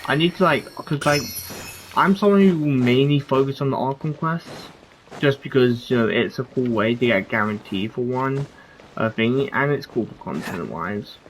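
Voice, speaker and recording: A man's voice: mid-range voice, lively intonation, normal speed, a little hiss or hum, low-quality recording. A man giving casual gameplay commentary as a streamer, rambling and often trailing off mid-thought. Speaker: game streamer. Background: game music.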